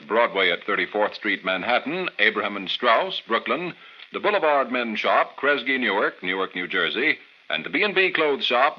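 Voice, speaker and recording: An American male announcer reads scripted ad copy in vintage radio style. His mid-pitched voice is highly animated. The old recording sounds muffled. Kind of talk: 1940s radio ad read.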